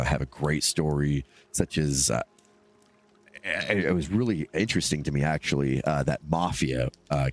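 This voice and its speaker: A male streamer chatting casually with his viewers while he plays, stuffed up from a cold and rambling between topics. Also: very deep voice. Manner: rambling, chatty, answers chat comments. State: stuffed-up nose. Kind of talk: casual live-stream chat while gaming.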